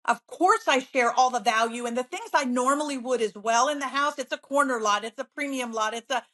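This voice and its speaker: A woman real estate agent as an interview guest, bubbly and upbeat, telling stories with lively enthusiasm. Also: high voice. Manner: bubbly, upbeat, quick to laugh. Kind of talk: conversational interview.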